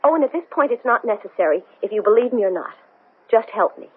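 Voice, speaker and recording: An actress playing a desperate, intense woman astronaut in a 1970s radio drama, speaking urgently with a husky voice. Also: fairly high pitch, animated, quick pace, phone-quality sound. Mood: pleading, urgent. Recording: thin, band-limited 1970s radio broadcast.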